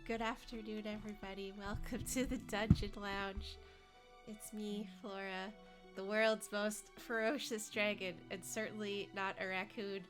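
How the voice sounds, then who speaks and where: A woman's voice: medium pitch, flat delivery, unhurried. A woman streaming a video game with a stuffy, congested nose, chatting and giggling playfully with her viewers. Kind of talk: casual live-stream chat while gaming. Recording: game music playing under voice. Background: game music.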